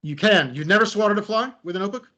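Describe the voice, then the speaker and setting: A man's voice: sits high, fast talker, bright, forceful tone. A middle-aged man leading an online creativity workshop, chatty and self-deprecating, with lots of fillers and restarts. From United States. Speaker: creativity trainer and workshop facilitator. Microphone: video call. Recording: slightly dull video call audio.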